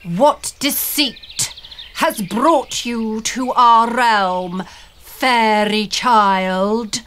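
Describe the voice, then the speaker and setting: A woman voicing a huge, hostile male troll ruler in a storybook, with a booming, growling delivery. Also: extremely slow, a bit bright, a little hiss or hum. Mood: menacing. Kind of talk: storybook character voice.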